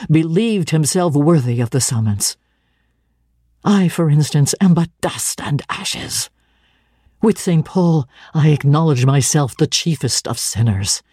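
A woman's voice: sits low, mellow, husky, no echo, studio quality, full character voice. A woman voicing a stern, zealous young clergyman who speaks with solemn, commanding deliberation.

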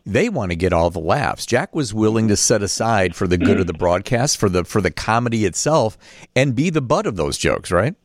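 A man's voice: fairly low pitch, sing-song, a little fast, no room sound, clean studio sound. A man hosting a conversational radio interview. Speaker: radio host.

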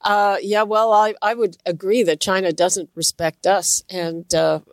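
Female talk radio host, mid-pitch, dry studio sound, casual and a bit halting. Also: level tone, no room sound.

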